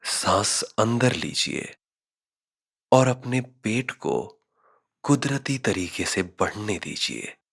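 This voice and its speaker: A man narrating a scripted guided meditation in a measured, instructional manner. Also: lowish voice, animated, creaky, fairly bright tone, husky.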